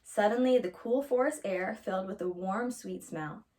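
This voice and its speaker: A woman reading a children's storybook aloud as a storyteller, in an expressive narrating style. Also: mid-range voice, normal speed.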